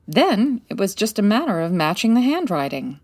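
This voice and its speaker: A woman's voice: mid-range voice, very animated, moderate pace, a little mellow. A woman playing a poised, wry 1940s private detective in a comedy audio drama, articulate and dry-witted. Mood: composed, explaining.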